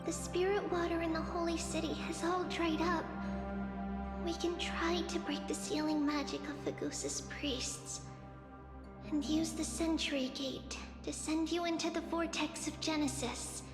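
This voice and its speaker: High, slow, tender girlish oracle. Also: fairly even intonation. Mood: grave, tender. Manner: tender, measured, solemn. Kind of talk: dramatic video game voice acting. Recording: echoey hall under loud music.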